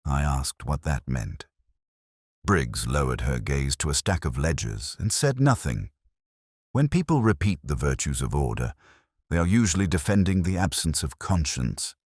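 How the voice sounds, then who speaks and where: A man reading scripted literary detective fiction as narrator, in a measured, formal and brooding manner. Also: a bass voice, sing-song, darkish tone, husky, no room sound, clean studio sound.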